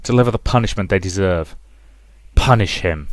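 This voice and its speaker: A man voicing a stern, bitter, vengeful mother in a visual novel, commanding and deliberate. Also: low voice, no room sound, lightly acted.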